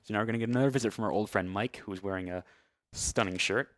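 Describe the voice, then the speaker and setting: A man's voice: lowish voice, rapid-fire, no room sound, studio quality. A man giving casual, wry live commentary as he plays through a video game speedrun. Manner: wry, understated joking. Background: loud game music and sound effects.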